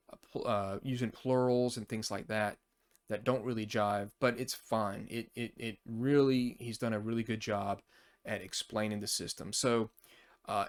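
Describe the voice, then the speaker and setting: A man hosting a casual, unscripted overview, talking in a rambling, conversational way as he thinks aloud. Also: mid-range voice. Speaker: tabletop RPG review channel host.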